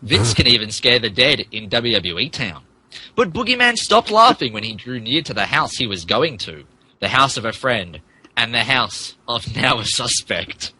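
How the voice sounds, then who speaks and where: A man narrates fan fiction as comic drama. His pitch moves around a lot, and his voice is bright and forceful with a husky edge. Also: sing-song, moderate pace, rough recording. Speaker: narrator in a podcast reading.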